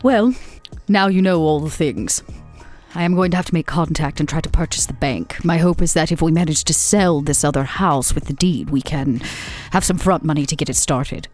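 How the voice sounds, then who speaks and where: Low-voiced, composed vampire schemer played by a woman. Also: normal speed, darkish tone, faint music behind, close to an everyday voice. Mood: gracious, businesslike. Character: Vera, shrewd composed female vampire schemer. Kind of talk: tabletop roleplay actual play.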